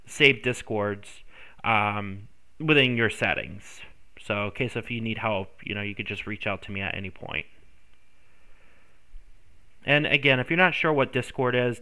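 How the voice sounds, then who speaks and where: A man's voice: on the low side, bright, forceful tone, clean tone. A congested man hosting a screen-recorded tech tutorial, rambling with many ums and self-corrections. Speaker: tutorial host.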